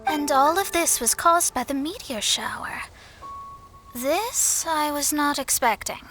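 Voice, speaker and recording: Game role: haughty young female astrologist, high-pitched, self-important, music underneath. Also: lively intonation, exaggerated voice. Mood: puzzled. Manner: self-important, brisk, condescending. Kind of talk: anime game voice acting. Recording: loud background music.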